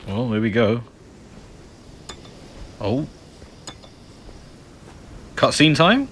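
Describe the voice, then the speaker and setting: A man's voice: medium pitch, very animated, extremely slow, a bit bright, a little hiss or hum. A man hosting a gaming playthrough with casual, informal muttered asides. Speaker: gaming video host. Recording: game music behind voice.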